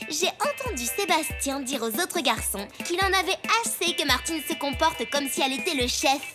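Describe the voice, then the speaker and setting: High, swooping, scheming cartoon schoolgirl. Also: animated, normal speed, over music. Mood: sly. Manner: sly, haughty, mock-innocent. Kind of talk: French-dubbed children's cartoon acting.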